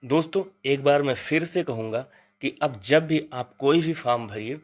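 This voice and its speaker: A man's voice: medium pitch, normal speed. A man hosting an exam update channel, explaining things informally and earnestly to viewers, advising them and repeating points for emphasis.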